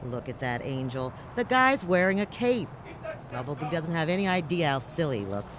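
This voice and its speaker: A woman's voice: sits low, sing-song, moderate pace, background noise. An actress playing a tough, blunt, slangy woman who runs a mining outpost in a science fiction radio drama. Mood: bitter, sardonic. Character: brash, hard-bitten woman boss of a mining outpost.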